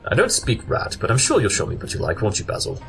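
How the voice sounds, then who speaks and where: A man voicing a clever, haughty pony detective with a soft side, pompous and precise, in a cartoon audio drama. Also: fairly low pitch, huge pitch swings, fast, gravelly, music in the background, heavily acted. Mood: softened.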